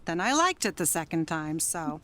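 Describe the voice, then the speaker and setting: Low-voiced, wistful 1930s wife. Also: female voice, very animated, normal speed, pushed and bright, exaggerated voice. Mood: chatty, wry. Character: troubled, wistful, newly sober 1930s wife Jerry. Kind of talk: radio drama acting.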